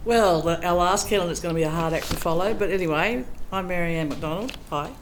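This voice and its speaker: A woman's voice: fairly low pitch, brisk. A middle-aged woman speaks as a council candidate at a public meeting. Her delivery is plain and chatty, with plenty of ums. A faint hiss runs under her voice. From Australia. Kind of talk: candidate speech at a public forum.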